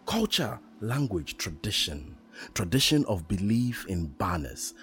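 A dreamy wanderer in his own kingdom, played by a man chants poetic lines very slowly. The low voice rises and falls widely over faint ambient noise, as in a radio play. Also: big pitch swings, a bit bright. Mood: dreamy, incantatory. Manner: incantatory, deliberate, repeating phrases. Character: unhurried dreamy male wanderer who calls the land his kingdom.

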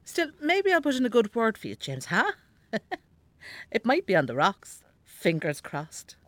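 A woman's voice: fairly high pitch, wildly swooping pitch, unhurried, no room sound. An actress playing a sick, elderly Irish mother in a radio drama, demanding and teasing, with a plaintive, contrary manner. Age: older. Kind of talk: naturalistic radio drama acting.

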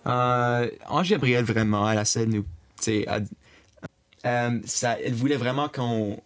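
A man's voice: medium pitch, moderate pace, clean tone, soft background music. Hesitant young man, Franco-Ontarian accent. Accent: Franco-Ontarian French. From Eastern Ontario, Canada. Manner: hesitant, many fillers and restarts. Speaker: interviewee, younger brother. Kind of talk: casual recorded conversation.

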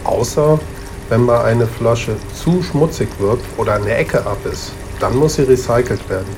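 A man's voice: medium pitch, normal speed, background noise, lightly acted. A man playing a friendly, knowledgeable returnable bottle who patiently explains things in a children's radio play. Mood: patiently explaining. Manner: reassuring, explanatory.

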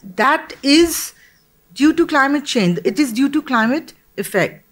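A woman from Bangladesh speaking as a panelist in a discussion, earnest and measured, with pauses and restarts. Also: sits high, animated.

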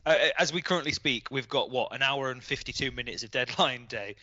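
A man's voice: mid-range voice, brisk, sharp tone, no echo, lo-fi sound. A man chatting casually and in a blokey way as he hosts a podcast among friends. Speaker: host of a gaming league podcast.

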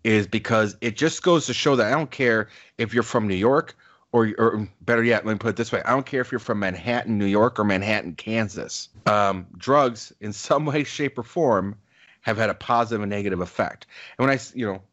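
A man's voice: fairly low pitch, brisk, a bit bright, lo-fi sound. A man in his forties co-hosting a podcast, talking in an earnest, reflective way with plenty of fillers. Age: 40s. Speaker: podcast co-host. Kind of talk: casual podcast chat.

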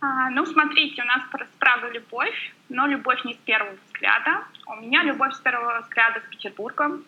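A woman guest chatting quickly and warmly in an interview, full of filler sounds. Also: fairly high pitch, dull audio. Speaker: guest blogger. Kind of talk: casual interview chat.

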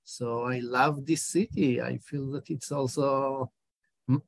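A man in his forties with an Israeli accent talks slowly and haltingly, stopping often to hunt for the right word. He answers interview questions as a documentary director. The recording is close and dry, with almost no room sound. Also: medium pitch, steady tone, gravelly, no echo. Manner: halting, searches for words, many hesitations.

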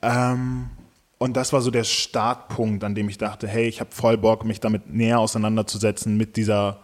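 Ein Mann Ende zwanzig, der im Interview nachdenklich über sein Musikalbum spricht, mit vielen Füllwörtern und Neuansätzen. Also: male voice, on the low side, level tone, normal speed. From Germany. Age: late 20s. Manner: thoughtful, many fillers and restarts. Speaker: musician guest. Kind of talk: casual interview talk.

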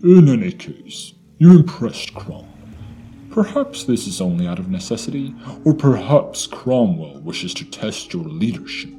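A man playing a wise, steady tauren commander in a fantasy audio drama, with formal, deliberate phrasing. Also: lowish voice, soft background music. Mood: probing.

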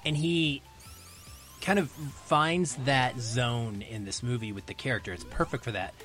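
A man's voice: medium pitch, unhurried, fairly bright tone, soft background music. A man around his thirties or forties talking casually as a podcast guest, explaining his film opinions in an analytical, emphatic way.

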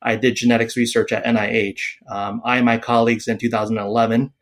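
A man in his forties from Taiwan, a psychiatrist speaking as a podcast guest, pausing often with fillers and restarts. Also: medium pitch, little pitch movement. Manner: hesitant, many fillers and restarts. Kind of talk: podcast interview.

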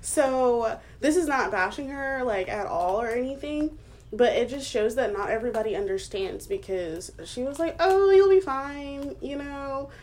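A woman's voice: sits high, lively intonation, normal speed, darkish tone. A woman in her twenties co-hosting a casual chat podcast, talking with many fillers and frequent laughs. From United States. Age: 20s. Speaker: podcast co-host.

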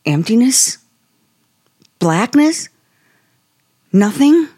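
An actress playing a timid, polite young aspiring writer, halting and nervous, in 1950s radio suspense style. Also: female voice, a little high, very animated, mellow, slightly rough, full character voice. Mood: uneasy, thoughtful.

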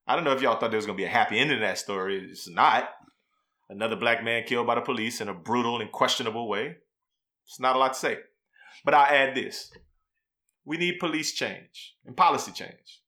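A man's voice: mid-range voice, sharp tone. A man co-hosting a podcast, talking in a slangy, blunt, emphatic way as he gives casual commentary. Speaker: podcast co-host.

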